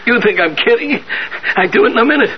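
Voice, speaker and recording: Ailing, feisty old American man, very high voice, muffled vintage radio drama sound. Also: animated, quick pace. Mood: chatty, needling. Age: older. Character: ailing, chatty old male hospital patient, whiny and feisty. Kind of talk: 1970s radio drama acting. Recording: muffled, slightly noisy 1970s radio recording.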